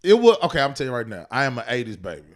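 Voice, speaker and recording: A man's voice: mid-range voice, big pitch swings, rapid-fire. A man in his late thirties, a comedian chatting casually on a podcast and telling loose, slangy stories full of asides. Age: 30s. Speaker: guest comedian.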